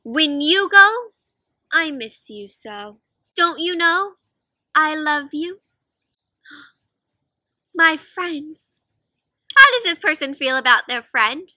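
A young adult woman doing an expressive, interactive picture-book read-aloud for children, asking lots of questions. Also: high voice, takes it slowly, pauses often, no room sound. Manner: expressive, asks frequent questions, gasps. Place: outdoors in a fenced backyard.

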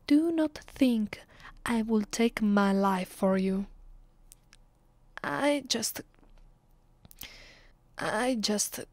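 A woman with an Italian accent playing a proud, conflicted vampire mistress in a breathy, whispered ASMR roleplay. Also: medium pitch, a little mellow, dry recording. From Italy. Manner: slow, deliberate, haughty.

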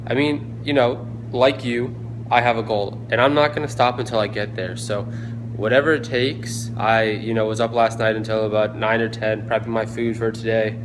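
A young man talking casually into a phone camera he holds at arm's length, chatting about his fitness routine and food prep in a relaxed, motivational way. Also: on the low side, normal speed, background noise. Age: young adult. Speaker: fitness vlogger. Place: condo gym, then home kitchen.

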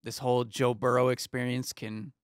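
A young man co-hosts a sports show from a small foam-paneled studio, talking into a close studio mic. His voice is smooth and resonant, and the sound is dry. He moves through scores in a steady, easygoing rundown. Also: medium pitch, moderate pace, dry recording. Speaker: sports talk show co-host. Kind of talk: casual sports chat. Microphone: studio mic on arm, headphones.

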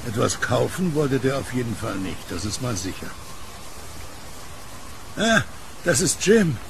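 A man acting as a solitary, genial kiosk keeper in a horror radio play. His voice is gravelly and moves up and down in a lively way, chatty and teasing, with clear storm noise behind it. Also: medium pitch, measured pace. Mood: wary, puzzled. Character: genial solitary male late-night kiosk keeper, fond of his dog. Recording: noisy storm ambience behind voice.

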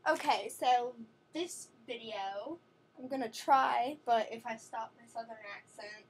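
A woman's voice: a little high, unhurried, slight background noise, low-quality recording. A teenage girl from New chatting rambly about her skin products in her bedroom, recorded on a webcam. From New York City. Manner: rambling, chatty, with many fillers and self-corrections. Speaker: beauty vlogger. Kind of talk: casual product-review vlog. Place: bedroom at night, indoors. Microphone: webcam at desk.

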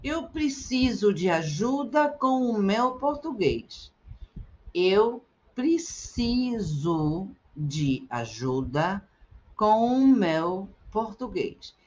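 A middle-aged woman teaching Portuguese, repeating short phrases slowly and with careful articulation for learners. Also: mid-range voice, big pitch swings, a little mellow. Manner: slow, carefully articulated repetition. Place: indoors, webcam in a circle overlay.

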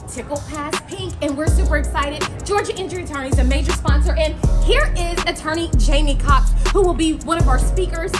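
A woman's voice: high voice, a little fast, hardly any pauses, loud music behind the voice. A woman reporting at an event entrance, bubbly and enthusiastic. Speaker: event interviewer.

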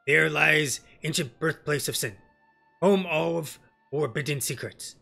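Guy doing a grave old-world beastman chief, stately and ominous, forceful fantasy game voice. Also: on the high side, takes it slowly, vocal fry, soft background music, full character voice. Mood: ominous warning. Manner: stately, poetic, foreboding. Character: grave, ceremonious beastman chief speaking in riddles.

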